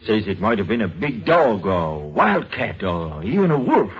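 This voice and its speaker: Husky, folksy mountain neighbor. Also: male voice, on the low side, very animated, moderate pace, full character voice. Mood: alarmed, grave. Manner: folksy, rustic. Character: plain-spoken rural mountain neighbor man. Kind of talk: old-time radio drama acting. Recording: dull lo-fi old radio recording.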